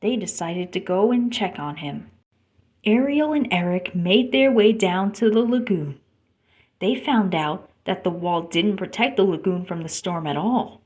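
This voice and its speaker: A woman's voice: medium pitch, expressive, measured pace, darkish tone. A woman reading a children's storybook aloud as narrator. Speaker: storybook reader.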